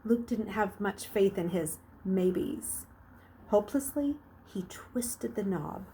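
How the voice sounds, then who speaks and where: A woman reading a children's novel aloud like a teacher, with expressive, dramatic emphasis. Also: mid-range voice, slow pace, slight background noise, low-quality recording. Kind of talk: expressive storybook narration.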